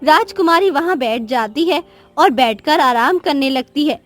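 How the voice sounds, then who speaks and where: A woman's voice: high-pitched, expressive, measured pace, a bit bright. A woman narrating a scripted cartoon story as the storyteller. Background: background music.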